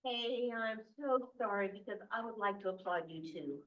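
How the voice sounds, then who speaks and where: A woman's voice: medium pitch, moderate pace, distant mic, highs cut off. A woman presiding over a community board meeting, her speech broken by frequent ums and small restarts. She keeps things moving in a plain, conversational way from the head of the table. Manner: hesitant, many ums and restarts. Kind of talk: chairing a public board meeting. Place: community services district meeting room.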